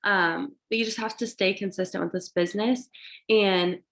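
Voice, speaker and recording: A woman's voice: mid-range voice, normal speed. A young woman sounds congested and stuffy from allergies as she speaks over a video call. She rambles eagerly, keeps turning to her listeners with "you guys," and pushes through with upbeat motivational energy. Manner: excited, rambling, addresses "you guys" often. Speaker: direct sales team leader.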